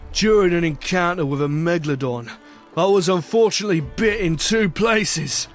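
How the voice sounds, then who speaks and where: A man's voice: high-pitched, normal speed, close to an everyday voice. A man playing a boisterous, commanding pirate captain who tells his sea tale in a dramatic storytelling style, with music behind him. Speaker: narrator and captain.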